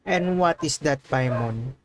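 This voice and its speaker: Casting: unhurried male streamer, medium pitch, casual gameplay reactions. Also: background noise. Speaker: livestreamer playing a game. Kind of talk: casual stream commentary, reading dialogue aloud.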